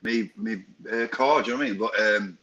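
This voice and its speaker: A lowish, bright-toned man tells a long story informally, often restarting his sentences. His voice comes over a dull, lo-fi call line. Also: moderate pace. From Stoke-on-Trent area, England. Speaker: guest, bare knuckle boxing promoter.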